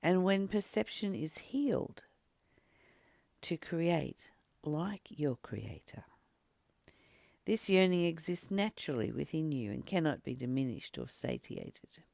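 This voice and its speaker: Low-voiced woman, gravelly and slow. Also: big pitch swings, a little mellow, dry recording. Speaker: spiritual teacher and channel host. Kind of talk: spiritual book study, reading aloud with reflective commentary.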